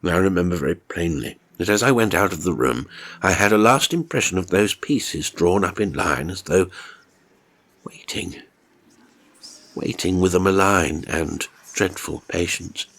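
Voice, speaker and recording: A man's voice: deep voice, expressive, unhurried, a bit bright. A man reading a first-person horror story as scripted narration, dramatic and suspenseful in his delivery. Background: music.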